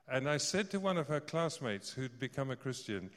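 A man's voice: medium pitch, big pitch swings, normal speed. A man in his sixties preaching a sermon, telling stories with joking asides. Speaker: visiting preacher. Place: church service hall. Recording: long reverb of a large hall.

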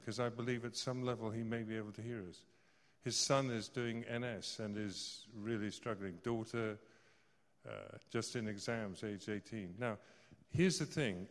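A man in his sixties preaching a sermon, telling stories with joking asides. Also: mid-range voice. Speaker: visiting preacher. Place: church service hall. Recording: long reverb of a large hall.

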